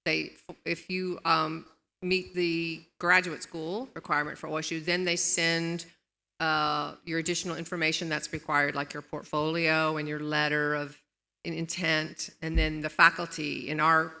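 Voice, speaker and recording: A woman's voice: deep voice, level tone, normal speed, vocal fry, sharp tone. A woman professor answering an audience question in a lecture hall, friendly but hesitant, with many ums. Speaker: visiting professor. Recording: long hall reverb.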